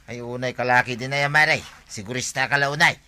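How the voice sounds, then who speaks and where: Very sharp-voiced Ilocano village buddy. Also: male voice, mid-range voice, fairly even intonation, fairly quick, clear, resonant tone. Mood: teasing. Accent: Filipino, Ilocano. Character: teasing, meddling male village buddy. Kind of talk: broadcast comedy radio drama acting.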